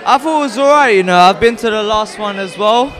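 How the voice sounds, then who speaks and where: A man's voice: high voice, brisk. A young man from Tanzania, a singer, giving a casual interview into a handheld microphone. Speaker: singer, event guest. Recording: loud event crowd behind.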